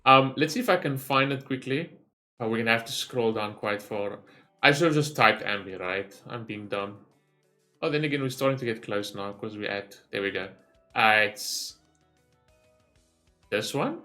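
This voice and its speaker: A man hosting a gaming video, giving excited, rambling reaction commentary. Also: lowish voice, normal speed, long pauses, soft background music.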